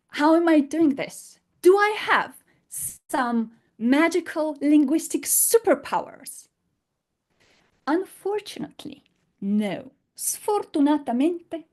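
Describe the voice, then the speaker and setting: Woman, slightly high voice, non-native English, unscripted online lesson, emphatic, rhetorical questions. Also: darkish tone. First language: not English. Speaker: language teacher.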